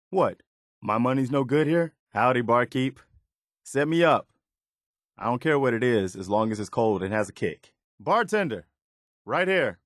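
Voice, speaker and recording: An actor playing a principled, loyal and weary young militia soldier in a video game, speaking sincerely and plainly. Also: male voice, medium pitch, no echo, clean studio sound, lightly acted. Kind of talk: video game companion dialogue.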